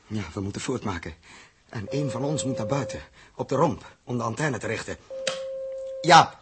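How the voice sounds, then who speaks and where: A harried male spaceship captain played by a man, clipped and commanding, his pitch swinging widely under strain. It is 1960s radio drama acting on a very noisy old recording. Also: animated, moderate pace, lightly acted. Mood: urgent, stern.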